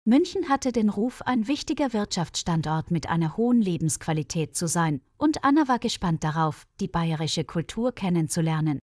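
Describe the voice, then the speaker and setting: A woman reading a scripted story for language learners in a measured, careful read-aloud manner. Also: medium pitch, darkish tone, dry recording, studio quality. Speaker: narrator of German learner stories.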